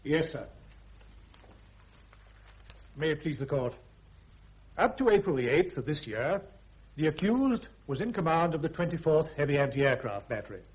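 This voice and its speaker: Through slightly muffled radio drama audio comes a British army prosecutor. His male voice moves widely in pitch as he sets out a case with formal, measured precision. Every phrase is deliberate legal oratory. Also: sing-song. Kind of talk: radio drama courtroom speech. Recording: dull, band-limited radio drama recording.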